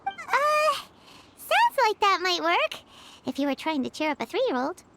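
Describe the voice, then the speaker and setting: A woman voicing a small, cheeky fairy companion girl in a game, squeaky and blunt. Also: wildly swooping pitch, measured pace, very noisy, heavily acted. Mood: skeptical. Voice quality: squeaky, bouncy, teasing. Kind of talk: game voice acting.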